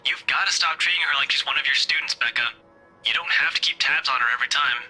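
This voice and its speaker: Gruff, raspy, bright-voiced young detective. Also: male voice, a little high, fast talker, heavily acted, poor audio. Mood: serious, reassuring. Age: young adult. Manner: curt, blunt, dry. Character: gruff, blunt young male police detective, protective underneath. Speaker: game voice actor. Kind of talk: dramatic visual novel dialogue. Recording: music behind the voice.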